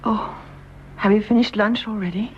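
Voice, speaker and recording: Actress as an anguished, hushed young British wife. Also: female voice, medium pitch, steady tone, moderate pace, lightly acted. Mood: subdued, anxious. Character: anguished, secretive young wife, gently well-bred. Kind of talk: radio drama acting. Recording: dull, noisy radio drama recording.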